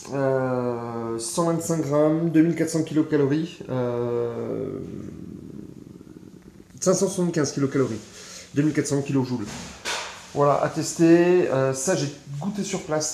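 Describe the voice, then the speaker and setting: A man's voice: medium pitch, mellow. Guy in his 40s rambling to his phone cam, lots of ums, roomy echoey sound. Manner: chatty, hesitant, frequent fillers. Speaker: survival gear vlogger. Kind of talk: casual show-and-tell vlog. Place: small indoor room. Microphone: phone camera at arm's length.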